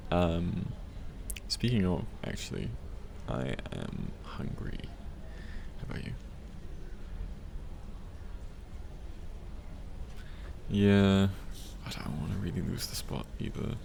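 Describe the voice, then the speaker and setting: A young man playing a gentle, teasing study partner in an ASMR roleplay, speaking softly and breathily, often close to a whisper. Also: deep voice, animated, creaky, heavily acted. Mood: playful, warm. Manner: hushed, unhurried, hesitant with small hums. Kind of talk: soft-spoken ASMR roleplay. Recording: faint library ambience noise. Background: quiet library ambience.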